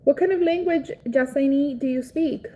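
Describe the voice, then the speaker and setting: A young woman from Cuba, raised in Florida, chatting casually on a live stream, greeting viewers by name and reacting excitedly. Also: sits high, moderate pace, slight background noise. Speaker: livestream host.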